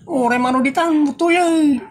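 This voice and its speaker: A woman with an Ilocano accent playing a pushy, wheedling investment peddler in a comedy audio drama, coaxing and animated. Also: high-pitched, a little slow, a little hiss or hum.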